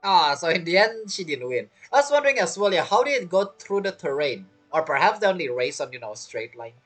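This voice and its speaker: A streamer comments casually on a game story. The delivery is informal and amused, with very animated intonation. Game music runs softly in the background. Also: medium pitch, fairly quick. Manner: informal, amused, non-standard grammar.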